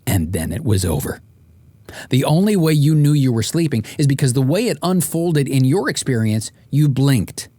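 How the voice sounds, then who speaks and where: Male audiobook narrator, wry and comically exasperated storyteller, middling pitch, very dry close sound. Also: big pitch swings, normal speed, warm tone, dry recording. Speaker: author and podcast host narrating.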